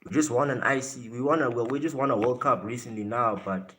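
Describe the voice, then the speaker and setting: A man's voice: medium pitch, fairly quick, fairly bright tone, slight background noise, low-quality recording. A man from Africa, chatting casually on a livestream and answering viewer comments bluntly, swearing and trash-talking as he goes. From South Africa. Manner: blunt, brash, swears and trash-talks chat.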